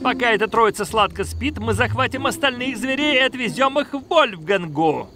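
An actor voicing a scheming, cocky young inventor villain in a cartoon dub, theatrical and smug. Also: male voice, very high voice, animated, moderate pace, sharp tone, heavily acted.

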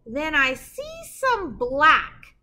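A middle-aged woman reading a picture book aloud to children as a librarian, with animated, dramatic emphasis and a sing-song delivery. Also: high voice, drawn-out words. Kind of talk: expressive children's read-aloud. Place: indoor library with bookshelves.

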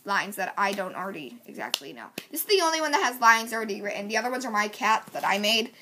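A woman's voice: mid-range voice, animated, quick pace, pushed and bright, a little hiss or hum. A woman chatting casually as she introduces her amateur voice audition lines. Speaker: amateur voice actor.

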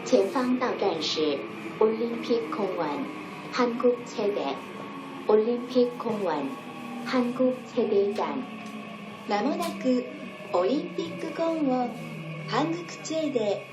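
Slow, echoey woman station announcer with music. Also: a little high, animated. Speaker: train announcer. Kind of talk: scripted multilingual station announcement. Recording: echoey public address playback.

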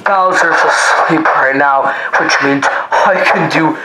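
Somebody doing a bitter little creature puppet, tense sharp voice, slow and menacing. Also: medium pitch. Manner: slow, resentful threats. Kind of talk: plush toy puppet comedy skit. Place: small room at home. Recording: roomy echo, faint noise, home camera.

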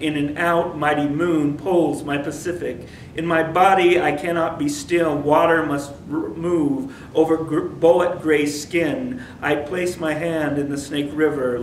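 A man in his thirties reading his poems at an open mic in a library room, in a measured, rhythmic way. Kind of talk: poetry reading. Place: library meeting room, seated. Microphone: stand mic, far camera. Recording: echoey room, distant mic, faint rumble.

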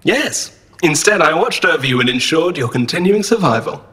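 An actor playing a literal, faintly menacing robot assistant in an audio drama, speaking precisely and formally. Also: male voice, on the high side, lively intonation, moderate pace, pushed and bright. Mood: deadpan, smug.

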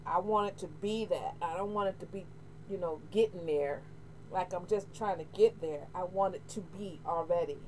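A middle-aged American woman chatting casually to camera, candid and expressive, repeating phrases for emphasis. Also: fairly low pitch, moderate pace. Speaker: hair vlogger. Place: small room at home, indoors. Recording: noisy home webcam audio.

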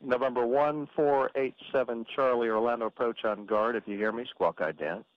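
A man working as an air traffic controller, giving brisk, procedural instructions in radio phraseology with filler pauses. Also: mid-range voice. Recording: muffled radio transmission with hiss.

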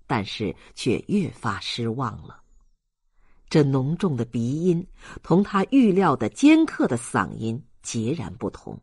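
A man reading a novel aloud as an audiobook narrator, in an expressive literary storytelling style. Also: mid-range voice, breathy edge, no echo, clean studio sound.

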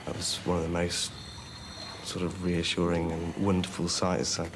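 Very deep-voiced young man. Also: monotone, normal speed. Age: young adult. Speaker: interviewee, rescued caver. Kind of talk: reflective interview recollection. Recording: clear background noise.

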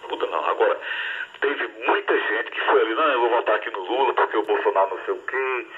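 Fast raspy man over radio. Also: fairly low pitch. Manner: fast, opinionated, conversational. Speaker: ham radio operator. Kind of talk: casual chat over two-way radio. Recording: tinny radio transmission.

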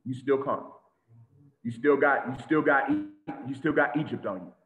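A man preaching an animated sermon to a congregation over a video call, with lots of repetition and sudden shouts. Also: mid-range voice, normal speed, faint music behind. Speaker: teacher of a congregation. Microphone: video call webcam. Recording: tinny phone-like echoey audio.

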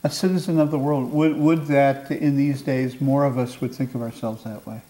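Older man hosting, mellow tone. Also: medium pitch, fairly even intonation, moderate pace, rough recording. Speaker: interviewer, host. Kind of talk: interview hosting, measured introduction and questions. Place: living room of a house.

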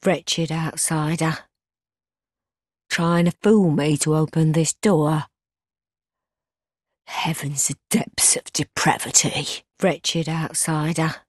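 Breathy, brittle, fearful townswoman. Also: low voice, steady tone, takes it slowly, long pauses, dry recording, studio quality. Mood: scornful. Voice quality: husky, breathy. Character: fearful, haughty townswoman, falsely sweet and brittle. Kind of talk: video game character acting.

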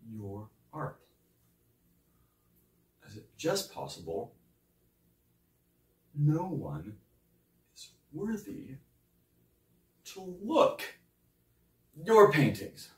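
An actor playing an indignant young painter's assistant in an impassioned, scornful stage monologue, moving dramatically between loud and hushed delivery. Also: male voice, medium pitch. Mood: cutting, probing. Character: indignant young male painter's assistant berating his mentor. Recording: roomy echo, distant microphone.

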